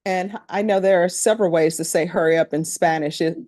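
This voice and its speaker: A woman's voice: on the low side, steady tone, brisk, a little mellow, no room sound, studio quality. A middle-aged woman from Louisiana with a Louisiana accent, a judge speaking to a jury panel in a courtroom in a plain, conversational storytelling manner.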